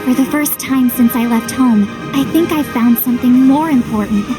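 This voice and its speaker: Actress as an earnest, high-voiced young heroine. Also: female voice, moderate pace, lightly acted, poor audio. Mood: reflective. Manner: earnest, emphatic. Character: earnest, determined young heroine rallying her troops. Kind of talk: video game cutscene acting. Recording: loud music behind.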